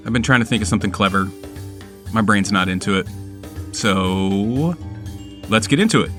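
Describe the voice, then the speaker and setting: Low-pitched man, casual podcast host. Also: moderate pace, a bit bright, soft background music. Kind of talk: casual show intro and game talk.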